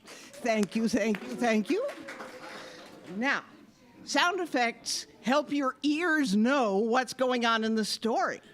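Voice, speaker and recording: Slow-paced woman presenting to children. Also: fairly high pitch, expressive. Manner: instructional, addressing a young audience. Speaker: sound effects presenter at children's audio theater. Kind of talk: live scripted children's show presentation. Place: live library event before an audience.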